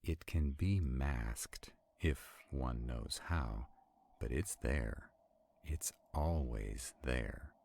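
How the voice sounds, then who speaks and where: A man playing an arrogant, stubborn vampire in a roleplay audio drama, with a deep, gravelly, husky voice and a haughty, deliberate delivery. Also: darkish tone, heavily acted. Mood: measured, explaining. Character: arrogant, stubborn male vampire, irritated and skeptical.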